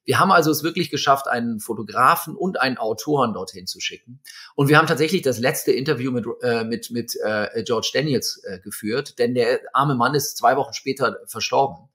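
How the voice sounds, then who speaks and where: A man in his fifties tells stories from his career as a watch magazine editor and pauses often on filler sounds. He speaks at a moderate, middle pitch. The sound comes from a video call in a room at home. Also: a bit bright. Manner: hesitant, many fillers, storytelling. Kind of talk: conversational interview. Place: home room with bookshelves and string lights.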